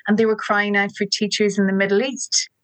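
An Irish woman in her forties tells stories with warm enthusiasm and chatty energy. Her voice is dry and close, with little room sound around it. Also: medium pitch, little pitch movement, brisk, dry recording. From Ireland. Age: 40s. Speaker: guest, education app co-founder. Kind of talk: podcast interview. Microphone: remote call.

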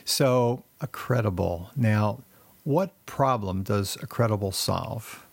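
A man's voice: on the low side, creaky, husky, no echo. Dry-sounding male podcast host. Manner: reads sponsor notes slowly, friendly questions with fillers. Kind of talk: podcast intro and interview.

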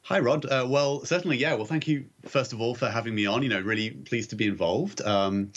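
A man's voice: mid-range voice, a little fast, a bit bright, husky, muffled recording. A young British man from the UK, a startup CEO answering interview questions eagerly, often repeating words as he starts a sentence.

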